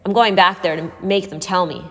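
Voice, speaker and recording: A woman's voice: fairly low pitch, steady tone, brisk, a bit bright, close to an everyday voice. A woman voicing a worried, defiant teenage girl in a story, pleading and insistent. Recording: hall-like reverb.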